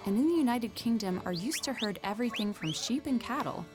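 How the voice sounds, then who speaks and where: A woman's voice: on the high side, animated, brisk, over music. Upbeat woman narrating a travel show. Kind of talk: scripted narration.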